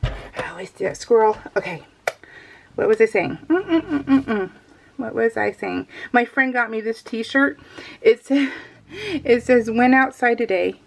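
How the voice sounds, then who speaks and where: A woman's voice: on the high side, a little slow, gravelly, slight background noise. A middle-aged woman chatting casually as a craft vlogger, giggly and easily sidetracked as she rambles along. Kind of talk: casual chat, rambling vlog.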